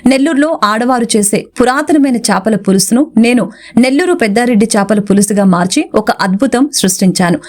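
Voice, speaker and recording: A woman's voice: fairly high pitch, a little mellow. Woman narrating a scripted report.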